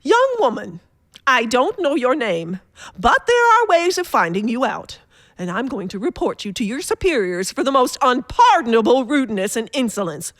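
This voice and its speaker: A high, very animated voice of a demanding, self-pitying bedridden woman in radio-thriller style. She is haughty and easily panicked, with breathless pleading. The sound is dry and close. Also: moderate pace, no room sound. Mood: haughty, outraged. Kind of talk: old radio thriller acting.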